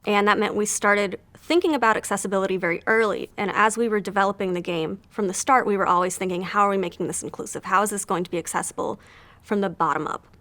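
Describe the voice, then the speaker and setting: A woman game producer explaining her work in an interview in a clear, organized way. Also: medium pitch, fast talker, bright, forceful tone. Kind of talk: interview answers.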